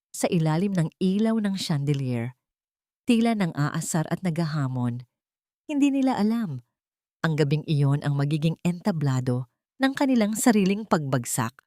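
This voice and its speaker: A woman's voice: on the low side, a little mellow, dry recording, studio quality. A woman reading a scripted dramatic story as a narrator.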